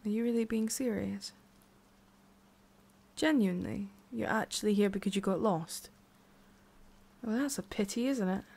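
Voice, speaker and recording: Haughty forest witch, played by a woman, ASMR roleplay. Soft-spoken, halting, condescending, with whispery breaths and a hidden tender streak. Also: mid-range voice, creaky. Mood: condescending, prying. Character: haughty, prickly tsundere forest witch, secretly soft-hearted.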